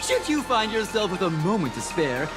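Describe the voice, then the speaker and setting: A woman's voice: mid-range voice, very animated, normal speed, music in the background, natural voice. A woman playing a devout, effusive recruiter for a happiness society in a video game, speaking formally and preachily. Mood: courteous invitation. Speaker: recruiter for a mutual aid society. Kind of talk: theatrical video game dialogue.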